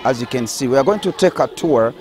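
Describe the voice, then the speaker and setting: A man's voice: medium pitch, expressive, brisk, darkish tone, some chatter behind. A man hosting on location at a school, presenting to viewers and putting points to his guide. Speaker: video host and reporter.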